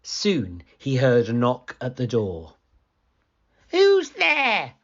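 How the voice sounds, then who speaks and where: A woman reading a children's story aloud as scripted narration, expressive and deliberate. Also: extremely low pitch, breathy edge. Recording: slightly dull, lo-fi sound.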